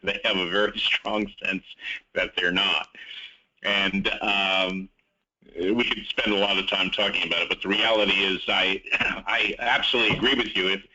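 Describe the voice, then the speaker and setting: A man's voice: low voice, steady tone, moderate pace, bright, forceful tone. A man moderating an informal discussion on a conference call. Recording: dull conference call line.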